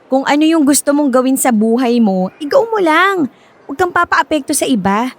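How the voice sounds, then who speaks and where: An actress with a Filipino accent playing a supportive, chatty former classmate in a radio drama, coaxing and reassuring. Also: female voice, high voice, expressive, moderate pace. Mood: encouraging. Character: encouraging, chatty former classmate, a woman working at a school.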